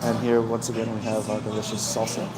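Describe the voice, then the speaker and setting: A man's voice: on the low side, monotone, quick pace, poor audio. A man chatting casually to a livestream while he eats, talking between bites with savoring hums. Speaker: livestream host. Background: music.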